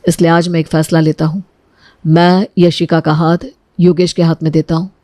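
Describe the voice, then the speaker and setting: A woman voicing a selfless, solemn husband and elder brother in a moral story cartoon, speaking in an earnest, measured way. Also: deep voice, level tone, mellow, no echo, clean studio sound, voice pushed down, close to an everyday voice. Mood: solemn, resolved. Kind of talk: acted dialogue in Hindi moral story cartoon.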